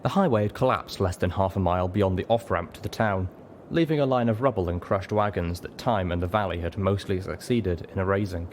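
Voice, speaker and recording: A man with a low voice narrates a first-person story. He reads from a script as an audiobook narrator, and a faint ambient noise sits behind his voice. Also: fairly quick, a little mellow. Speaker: audiobook reader.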